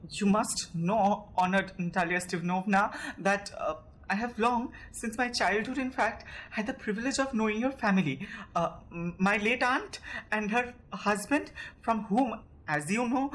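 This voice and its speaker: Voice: mid-range voice, a bit bright, close to an everyday voice. A young student actor with an Indian accent playing a nervous, fussy landowner suitor in an amateur audio drama, stammering and formal. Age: young adult.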